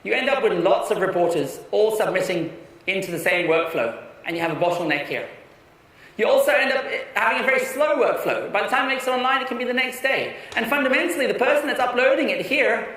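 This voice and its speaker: A man's voice: sits high, distant mic. Young man giving a keynote in an echoey hall. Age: young adult. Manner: energetic, persuasive, with quick restarts. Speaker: conference speaker. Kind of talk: keynote talk. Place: large conference hall.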